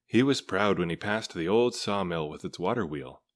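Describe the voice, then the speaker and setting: A man with a low voice narrates a children's storybook. He reads aloud in measured, deliberate phrases. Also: animated. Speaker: storybook reader.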